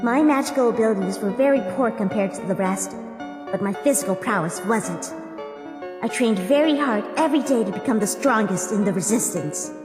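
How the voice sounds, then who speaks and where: A woman's voice: fairly high pitch, fairly quick, natural voice. A woman playing a solemn, earnest, fiercely protective young female warrior in a dramatic comic dub. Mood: solemn, devoted. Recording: echoey, long reverb over loud music.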